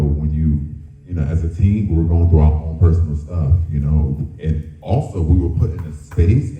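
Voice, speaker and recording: A man with a deep voice telling candid personal stories as a dancer on a discussion panel, colloquially and with quoted asides. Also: recorded from a distance, a little hiss or hum. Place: town hall event room. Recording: muffled, echoey room, off mic.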